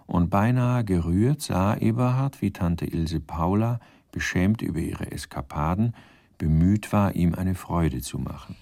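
An actor as the wry, composed narrator of a radio crime drama, reading scripted literary narration. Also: male voice, low voice, unhurried, gravelly, warm tone, dry recording, studio quality, full character voice.

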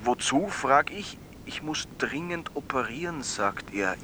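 Young viennese student phoning a radio show, serious and insistent, crisp forceful tone, slight background noise. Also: male voice, mid-range voice, lively intonation, unhurried. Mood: animated recounting. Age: young adult. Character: earnest, insistent young medical student caller. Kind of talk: radio drama acting, dream storytelling.

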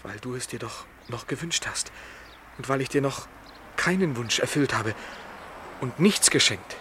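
A man's voice: mid-range voice, lively intonation, a little slow, pushed and bright. An actor playing an impassioned, possessive young man who speaks with commanding intensity in a radio play. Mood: urgent, hushed. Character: impassioned, possessive young male lover Jan. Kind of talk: stage-trained radio drama acting. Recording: 1971 radio play, noisy ambience.

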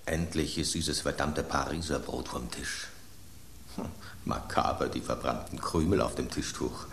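A cranky loaf of bread in a radio play, gruff and blunt, sneering at anything fancy. The voice sits very low and deep, and the recording has a roomy, echoey sound. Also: male voice, measured pace, fairly bright tone, a little hiss or hum, full character voice. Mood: disdainful. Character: grumpy male loaf of house bread, blunt and scornful. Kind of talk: radio drama acting. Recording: roomy studio echo.

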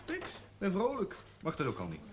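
Cheeky son, very high swooping voice. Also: male voice, huge pitch swings, a little fast. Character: cheeky, restless, teasing young adult son. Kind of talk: naturalistic 1970s Dutch television drama acting. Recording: noisy old TV soundtrack, clinking crockery.

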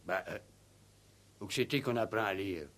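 An actor playing a simple, stubborn village farmhand in a radio play, defensive and blunt, with hesitant, ungrammatical country speech. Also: male voice, lowish voice, moderate pace, a bit bright, natural voice. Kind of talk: 1960s French radio play acting.

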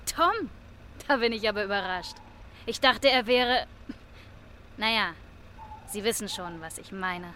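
A woman's voice: medium pitch, expressive, unhurried, pauses often, pushed and bright, slight background noise. A woman playing an inquisitive, polite small-town local in a radio crime drama, chatty and natural. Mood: surprised, awkward.

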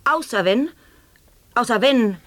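An actor in a radio play declaiming nonsense sound poetry in slow, halting word-by-word exclamations. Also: male voice, extremely high pitch, expressive, a bit bright, dry recording, full character voice.

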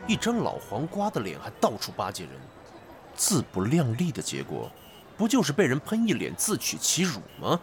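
A spiteful male gossip in an audio drama. His delivery is sarcastic and hugely animated in pitch. Music plays clearly behind. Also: moderate pace. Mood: scornful. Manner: sarcastic, mocking.

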